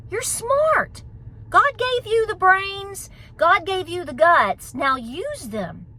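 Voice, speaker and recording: A woman's voice: very high voice, very animated, slow pace, bright, forceful tone. A middle-aged woman with a Southern Appalachian accent, giving an emphatic, folksy pep talk in a casual vlog style. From United States. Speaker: homesteading vlogger.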